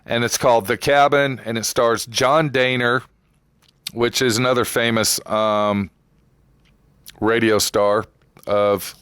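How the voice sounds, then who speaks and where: Male host for vintage radio series: smooth, clean voice, close dry sound, informal and deliberate delivery. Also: medium pitch, a bit bright, dry recording. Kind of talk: casual unscripted introduction.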